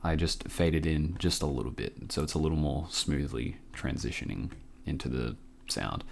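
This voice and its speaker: A man hosting a casual software tutorial, informal and a bit hesitant as he thinks aloud. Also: extremely low pitch, moderate pace, gravelly, a little hiss or hum. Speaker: tutorial host.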